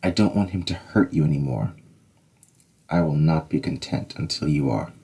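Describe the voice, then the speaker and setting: A woman's voice: rumbling low, animated, normal speed, dark tone, a little hiss or hum, pitched below the performer's own voice. A woman voicing a protective male Scottish lord who hosts the castle, speaking firmly. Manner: firm, protective.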